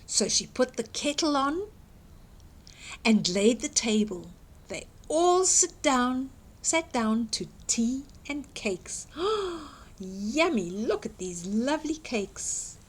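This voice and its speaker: A woman reading a fairytale aloud to young children, dramatic and expressive, exclaiming and putting questions to the listener. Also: high-pitched, slow, slightly rough. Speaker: children's storyteller.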